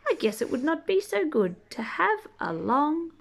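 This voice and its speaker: A woman reading a rhyming children's book aloud expressively, drawing out key words and chatting gently to young listeners. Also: sits high, creaky. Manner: animated, drawn-out key words, gentle questioning asides. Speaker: storybook reader reading to children.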